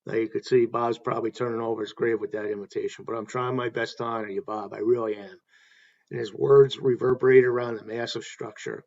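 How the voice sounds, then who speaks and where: A man's voice: mid-range voice, fairly even intonation, fairly quick, creaky, a little mellow. Man giving a tribute talk, slightly dull audio. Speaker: host paying tribute to a stadium announcer. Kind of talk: semi-scripted tribute talk, reading anecdotes.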